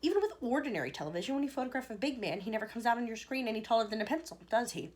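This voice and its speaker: Lady doing a hyped-up eccentric candy maker guy, high voice, bouncing all over. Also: animated, close to an everyday voice. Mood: commanding. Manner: exclamatory, showman-like, hurried. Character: excitable eccentric male chocolate maker.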